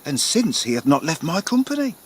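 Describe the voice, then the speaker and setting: A man's voice: on the high side, very animated, brisk, slightly rough. A man acting an obedient, furtive henchman who speaks deferentially to his lord in a classical radio drama. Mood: deferential, uneasy.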